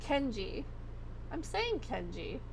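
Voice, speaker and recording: A woman livestreamer chatting casually, her voice going hoarse as she rambles and swears freely. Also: high-pitched, wildly swooping pitch, slow, background noise. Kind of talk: casual chat.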